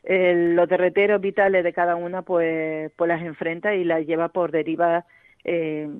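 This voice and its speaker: A woman's voice: fairly low pitch, little pitch movement, normal speed, no room sound. A woman novelist from Gran Canaria, answering radio interview questions thoughtfully, with many fillers and restarts. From Gran Canaria, Spain. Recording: dry, tinny phone-like line.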